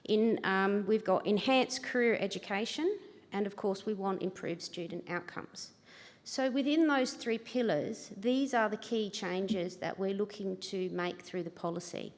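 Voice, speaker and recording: A woman's voice: medium pitch, a little slow. An Australian woman, a government education manager, giving a chatty, self-deprecating conference talk at a lectern microphone. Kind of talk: conference presentation. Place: large convention hall. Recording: reverberant hall, livestream.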